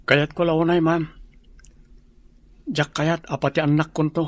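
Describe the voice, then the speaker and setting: Earnest male lead for radio drama, acted by a man, slightly high voice. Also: little pitch movement, unhurried, no echo, natural voice.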